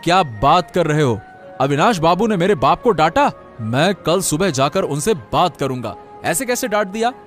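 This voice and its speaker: A man's voice: a little high, very animated, moderate pace, faint music behind. A man voicing an honest, principled poor fish seller in a cartoon story, speaking earnestly with indignation. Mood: indignant.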